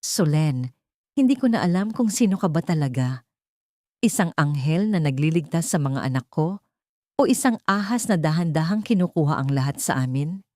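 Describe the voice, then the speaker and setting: A woman's voice: low voice, expressive, normal speed, darkish tone, dry recording, clean studio sound. Cold, wounded male tycoon, played by a woman, accusing and interrogating, for a romantic audio drama. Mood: angry, hurt. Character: cold, suspicious wealthy male employer, bitter and hurt.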